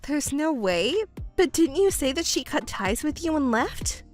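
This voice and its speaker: Woman playing a young adult stepdaughter, calm, polite, firm, reproachful, measured delivery, soft music underneath, drama dialogue. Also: sits high. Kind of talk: acted dialogue in a narrated family drama.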